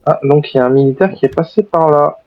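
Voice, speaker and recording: A man role-playing a courteous zombie survivor in a game, with formal phrasing and hesitant pauses. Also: fairly high pitch, no room sound, narrow, tinny audio. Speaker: video game role-player. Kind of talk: improvised in-character game chat.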